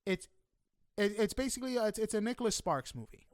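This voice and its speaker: A man's voice: high-pitched, expressive, quick pace, no room sound, studio quality. A man hosting a podcast interview in a casual, chatty way, effusive and informal in his reactions.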